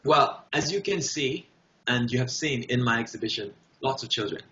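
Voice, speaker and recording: A man speaking as an interview guest, giving impassioned, emphatic answers in short, deliberate, declarative phrases. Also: medium pitch, pushed and bright, a little hiss or hum. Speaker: guest artist. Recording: dull, roomy echoing sound.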